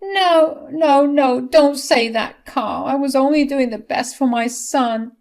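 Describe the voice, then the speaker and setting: A woman voicing a desperate, scheming older mother-in-law who pleads in a shrill, frantic voice. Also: slow, vocal fry, darkish tone, full character voice.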